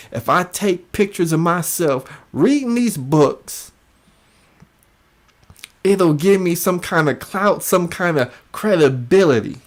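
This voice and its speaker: Male commentary host, slow-paced and very animated in pitch, mocking, repeats phrases to drive points home. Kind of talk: reaction commentary.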